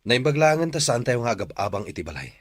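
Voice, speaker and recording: A man's voice: medium pitch, big pitch swings, fast, husky, no echo. A man playing a weary, exasperated husband in an Ilocano radio drama, grumbling and reproachful. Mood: exasperated, bitter.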